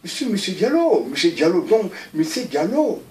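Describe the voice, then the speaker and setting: A man in his fifties talks on at length in an interview, repeating himself, his voice swinging high and low with a lively, animated tone. He sounds roomy and set back from the microphone. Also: moderate pace, distant mic. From Mali. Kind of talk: recorded video interview.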